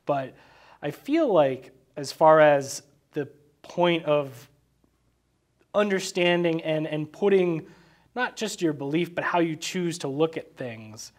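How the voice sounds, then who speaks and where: A man in casual, informal film analysis, speaking haltingly and repeating words as he works out his thoughts. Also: a little high, expressive. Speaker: podcast co-host discussing a film.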